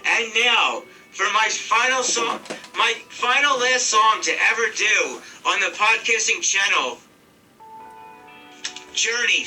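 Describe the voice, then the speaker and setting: A man's voice: sits high, bright, forceful tone, recorded from a distance, rough recording. A man in his thirties, a podcast host, talking earnestly in a heartfelt farewell and repeating himself as he goes. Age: 30s.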